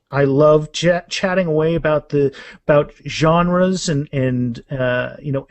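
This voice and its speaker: A man's voice: on the high side, darkish tone, dry recording. A man chatting casually and enthusiastically as a guest author in a podcast interview.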